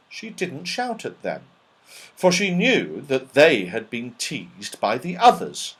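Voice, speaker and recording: Slow, very animated male storyteller. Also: medium pitch, slightly rough, poor audio. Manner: expressive, dramatic storytelling. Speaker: audiobook reader. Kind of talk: scripted children's story narration.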